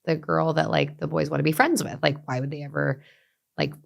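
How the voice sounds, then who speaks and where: A middle-aged woman, a guest talking reflectively in an interview, with many restarts and soft trailing endings. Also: low-pitched, level tone, a little fast, creaky. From Los Angeles, USA. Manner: thoughtful, many fillers and restarts, trailing softly. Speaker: guest, beauty brand founder.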